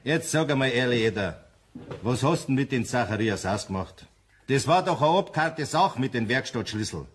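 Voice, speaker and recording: A man's voice: mid-range voice, expressive, normal speed, slightly rough. An actor playing a sceptical, grumbling pub regular in a children's radio play, pressing his point with a Bavarian accent.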